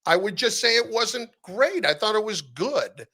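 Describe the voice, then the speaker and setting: A man speaking as a guest in a casual sports podcast interview, telling stories in an animated, conversational way. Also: high-pitched, a little slow. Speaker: interview guest, sports radio play-by-play announcer.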